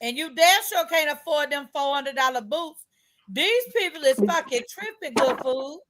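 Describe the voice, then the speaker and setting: A woman co-host jokes loudly and cusses freely through casual chat. Her voice is high and very bright. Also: expressive, moderate pace. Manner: loud, salty, cussing banter.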